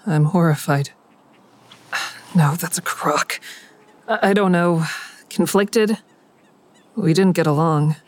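A woman's voice: fairly low pitch, takes it slowly, long pauses. An actress playing an anxious, conflicted woman in an audio drama, speaking plainly and with some hesitation. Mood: uneasy.

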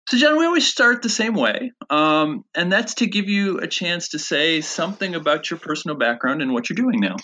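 Podcast host introducing a guest. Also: male voice, a little high, very animated, sharp tone. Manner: friendly, halting questions. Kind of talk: podcast interview.

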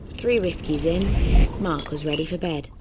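A woman narrating a scripted literary story with a husky voice. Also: low-pitched, moderate pace, heavy background noise.

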